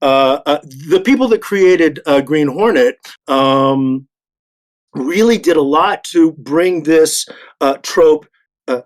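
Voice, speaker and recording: Halting, emphatic man with a highish voice. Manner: halting and repetitive, yet emphatic on key words. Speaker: panelist. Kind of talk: panel discussion, making an argument.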